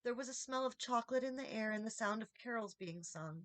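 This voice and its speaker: A woman reading a children's story aloud as expressive audiobook narration. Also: a little high, brisk, no echo. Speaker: audiobook reader.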